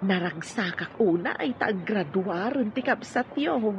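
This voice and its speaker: A woman's voice: mid-range voice, animated, a little slow, a little hiss or hum. A middle-aged woman with an Ilocano Filipino accent acting a proud, humble mother in an amateur audio drama. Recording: dull lo-fi audio with music.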